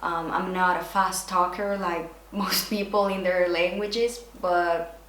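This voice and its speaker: A young woman from Spain, born in Argentina, with a Spanish accent, chatting slowly and casually in her vlog. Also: fairly low pitch. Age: young adult. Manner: slow, relaxed, switches between languages. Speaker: YouTuber. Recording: echoey room, faint noise.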